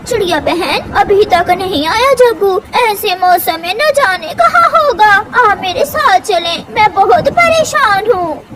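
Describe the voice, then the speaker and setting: A woman's voice: extremely high pitch, lively intonation, a little slow, hardly any pauses, noisy background. A woman voicing a worried mother crow in a cartoon, anxious and complaining.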